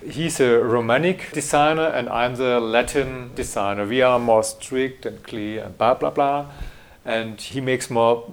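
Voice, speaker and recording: A middle-aged German graphic designer answering interview questions in English with a German accent, hesitant and full of fillers and restarts. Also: male voice, mid-range voice, measured pace.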